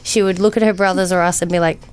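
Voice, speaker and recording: A woman's voice: fairly low pitch, fairly even intonation, quick pace. A middle-aged woman with an Australian accent, chipping in casually during an interview. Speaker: mother of the guest, joining in. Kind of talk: casual chat. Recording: clear background ambient noise.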